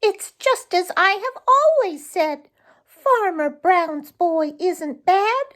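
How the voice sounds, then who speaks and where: Woman voicing a very high, chirpy little chickadee. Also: slow, full character voice. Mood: joyful. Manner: chirpy, excited bird calls. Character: tiny male chickadee bubbling over with joy. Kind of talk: animal storybook character voice.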